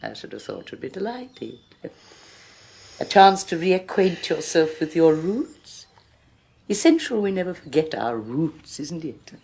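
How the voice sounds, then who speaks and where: A woman's voice: deep voice, very animated, gravelly, a little mellow, husky, full character voice. An actress playing a despotic, domineering rich woman who mocks everyone around her in a theatrical, sneering way, in a 1980s radio horror drama. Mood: sweetly menacing. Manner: sneering, theatrical, drawn-out mockery. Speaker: Henrietta Forsythe.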